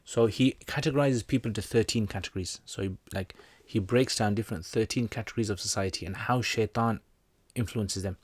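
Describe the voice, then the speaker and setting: A man's voice: fairly low pitch, fairly quick, few pauses, slightly rough, no echo. A man teaching and hosting a livestream, chatting casually with viewers in a jokey, rambling way with slangy asides. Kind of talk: casual livestream chat, answering viewer comments.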